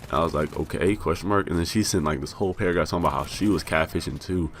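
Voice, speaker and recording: Deep, fast-talking young American man. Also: a little hiss or hum. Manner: rambling, profane, self-mocking with laughs. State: raspy from being high. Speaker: vlogger. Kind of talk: casual storytelling.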